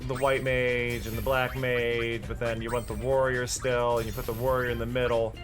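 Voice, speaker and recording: Chatty streamer over game music. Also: male voice, medium pitch, level tone, normal speed. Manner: chatty, filler-heavy explaining. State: tired voice. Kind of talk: casual gameplay commentary.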